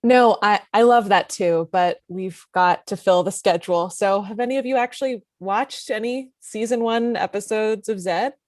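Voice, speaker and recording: A woman's voice: medium pitch, moderate pace, few pauses, darkish tone, dry recording, lightly acted. A woman reading the part of a sardonic, dismissive female TV network executive in a comedy table read. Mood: businesslike. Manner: dry, dismissive, caustic.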